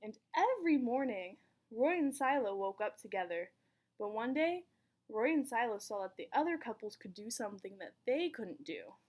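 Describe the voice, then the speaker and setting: A woman reading a children's picture book aloud in an expressive storytelling manner, with dramatic pauses. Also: fairly high pitch. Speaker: volunteer story reader.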